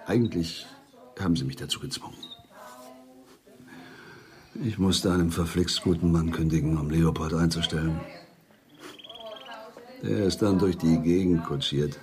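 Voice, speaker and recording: A man's voice: sits low, a little slow, pauses often, a little mellow. An actor playing an uneasy older man who hesitantly confesses an old secret in a radio drama. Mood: uneasy, confessing.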